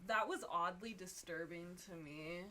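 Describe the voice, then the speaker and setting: A young woman co-hosting a podcast, chatting casually in an expressive, joking way. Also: fairly low pitch, measured pace, slight background noise. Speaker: podcast co-host. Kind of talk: casual chat, reacting to a reading.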